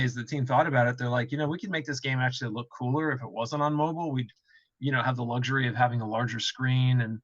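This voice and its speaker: Bright-voiced man explaining casually, dull audio. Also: mid-range voice. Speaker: game studio developer on a panel. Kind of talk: casual explanatory panel talk.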